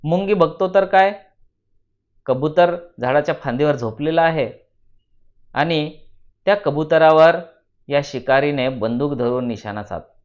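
A man from narrates a children's moral story in a deliberate, teacherly way, repeating phrases for emphasis. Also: on the high side. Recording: dull lo-fi voice recording.

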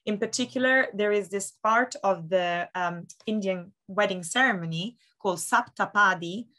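A woman's voice: mid-range voice, moderate pace, sharp tone. Woman, thirties, art specialist, enthusiastic, chatty with fillers, webcam video call, home study. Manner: enthusiastic, detailed, many fillers like "um" and "like". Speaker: auction house specialist. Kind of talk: expert explaining art in a video call interview.

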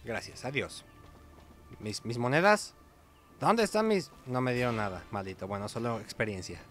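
A man's voice: medium pitch, animated, normal speed, a bit bright, soft background music. A man with a Mexican Spanish accent giving casual, chatty gameplay commentary in informal slang as host of a gaming video. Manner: informal, chatty, hums little sound effects.

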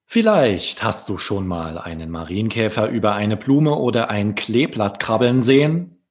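A man in his thirties reading a story aloud to young children, gently addressing the listener directly. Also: fairly low pitch. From Germany. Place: small room with wood-panelled wall. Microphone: desk microphone with pop filter, close.

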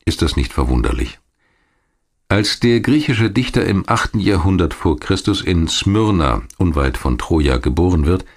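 A man narrating a scripted history documentary in a measured, articulate storytelling manner. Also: deep voice. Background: music.

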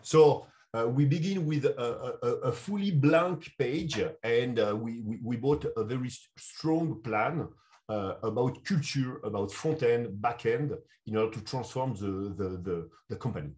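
A man, a consulting CEO answering interview questions over a video call. He speaks in measured, English. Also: mid-range voice, a little mellow. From France. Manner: measured, non-native English phrasing. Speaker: guest, insurance consulting CEO. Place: home room.

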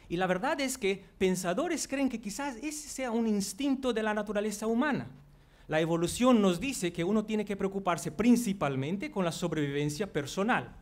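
A man in his forties from Brazil, a native Portuguese speaker with a Brazilian accent, giving an earnest psychology lecture to a large auditorium on a headset mic. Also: high voice. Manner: earnest, walks the stage while talking. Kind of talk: public lecture. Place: large auditorium before an audience.

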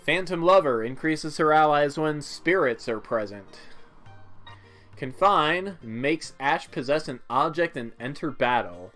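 A man's voice: on the high side, expressive, measured pace, fairly bright tone. A man giving casual, offhand video game commentary with wry asides. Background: game music.

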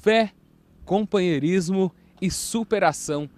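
A man's voice: high voice, measured pace, a little mellow. A young man with a Brazilian accent, a TV reporter narrating a scripted news feature.

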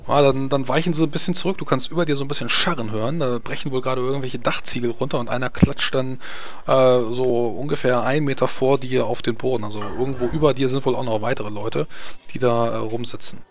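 A man improvising tabletop game narration as game master, in a halting, hesitant way. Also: medium pitch, fairly even intonation.

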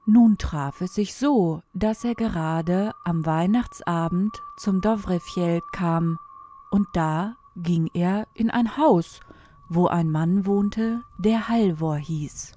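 Slow, low, mellow woman storyteller. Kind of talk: scripted fairy-tale narration.

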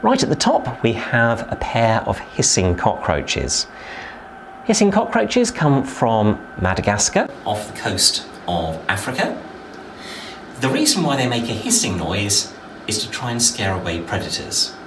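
A man, a zookeeper giving an educational talk to camera inside a reptile house, explaining with lively emphasis. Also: measured pace, background noise. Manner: lively, emphatic explainer with sudden pitch rises. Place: indoor reptile house with lit terrariums.

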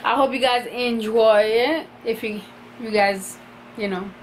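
A young woman chatting on a casual vlog, her voice slightly high and easily excited. She squeals over small wins. The handheld camera audio carries steady noise behind her. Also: measured pace, low-quality recording. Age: young adult. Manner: excitable, squeals when winning. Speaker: vlogger. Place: bowling alley, then car and bedroom.

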